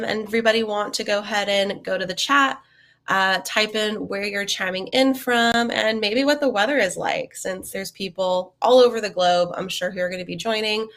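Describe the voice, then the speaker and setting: A woman's voice: medium pitch, a bit bright. A young woman, a bit sniffly from a cold, hosting a live webinar in a chatty, upbeat way with many ums and uhs. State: sniffly, getting over a cold. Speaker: webinar host.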